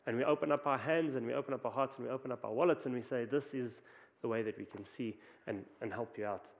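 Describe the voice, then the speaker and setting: South African preacher in a reverberant hall. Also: male voice, medium pitch, darkish tone. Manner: conversational preaching with frequent restarts. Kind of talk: sermon.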